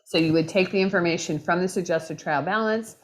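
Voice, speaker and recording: Woman instructor, slightly low voice, losing her voice, chatty lecture style that keeps asking if you follow. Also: fairly quick. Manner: conversational, checks in with "right?" and "okay?". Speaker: accounting instructor. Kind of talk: recorded lecture.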